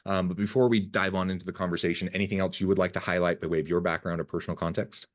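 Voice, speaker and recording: A man's voice: low voice, fairly even intonation, racing. A man hosting an interview podcast, enthusiastic and upbeat as he talks with his guest over a remote call. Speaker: podcast host. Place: home, south of Salt Lake City.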